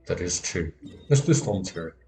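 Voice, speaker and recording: Low-voiced man muttering through a game stream. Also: expressive, moderate pace, dark tone. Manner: muttering to self, repeats words. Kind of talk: casual live gameplay commentary. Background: game music.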